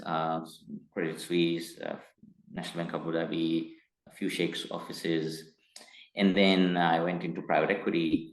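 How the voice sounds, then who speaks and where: A middle-aged man from Tanzania, speaking as an interview guest in a measured, hesitant way that turns emphatic. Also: low-pitched. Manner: measured, hesitant with frequent uh fillers, grows emphatic. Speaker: guest, company executive. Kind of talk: interview conversation. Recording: roomy echo.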